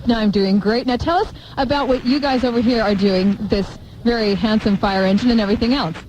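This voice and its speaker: Female American breakfast radio host, high voice, noisy outdoor live broadcast. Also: brisk, darkish tone. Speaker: morning radio show co-host. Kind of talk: live radio chat and interviews. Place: outdoors in the cold at a supermarket event.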